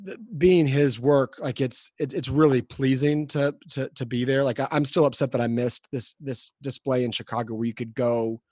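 Guy podcast host, medium pitch, casual halting chat, no room echo at all. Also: fairly even intonation, darkish tone, clean studio sound.

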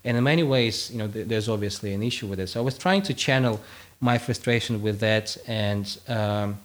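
Man, native Russian speaker, lowish voice, halting, lots of uh and you know, guest philosopher. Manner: hesitant, with many fillers and restarts. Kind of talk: conversational explanation and reading aloud his own text.

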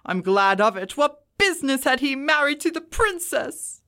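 A woman's voice: high voice, big pitch swings, moderate pace, bright, forceful tone, voice pushed up, full character voice. A woman voicing an emotional old man in a Victorian storybook, exclaiming earnestly between laughter and tears. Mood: excited delight. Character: old man Scrooge, overexcited, tearful, boyishly delighted. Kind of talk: audiobook character voice, Victorian storybook.

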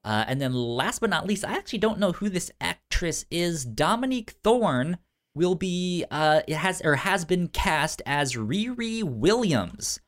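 A man co-hosting a casual pop-culture news chat, stuttering on sounds and syllables, with halting, informal phrasing. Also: high voice, big pitch swings, fairly bright tone. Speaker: podcast co-host.

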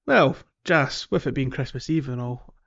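A man's voice: mid-range voice, lively intonation, normal speed, creaky, a bit bright, no echo. Male podcast co-host, slightly dull sound. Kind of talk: casual podcast chat.

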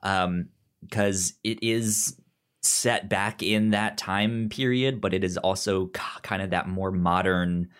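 Low-voiced man chatting haltingly. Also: steady tone, fairly bright tone, clean tone. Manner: halting, repeats and restarts words. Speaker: podcast co-host. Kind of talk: casual conversational review and recommendations.

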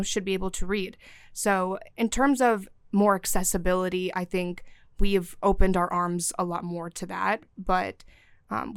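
Earnest young woman, smooth clear voice. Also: medium pitch, no echo, clean studio sound. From Syria. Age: young adult. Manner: earnest, fluent, with frequent "you know" fillers. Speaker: guest, news desk assistant and DEI chair. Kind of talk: podcast interview answers.

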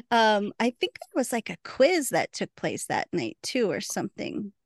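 A woman's voice: on the high side, wildly swooping pitch, slow pace, gravelly, breathy edge, no echo, clean studio sound. A woman co-hosting a podcast, chatting casually and laughing often. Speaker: podcast co-host.

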